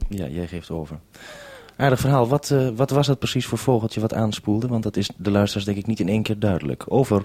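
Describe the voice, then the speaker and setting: A Dutch man with a deep, relaxed voice presents on the radio in a wry manner. The sound is close and dry, and he puts his questions with dry irony. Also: a little fast, no echo. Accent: Dutch, from the Netherlands. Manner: wry, dryly ironic. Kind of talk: radio report and two-way interview.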